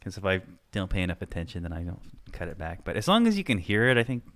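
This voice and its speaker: A man's voice: low-pitched, very animated, fast talker, a bit bright. A man hosting a podcast, rambling casually and making self-deprecating jokes. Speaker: podcast host. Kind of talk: casual chat.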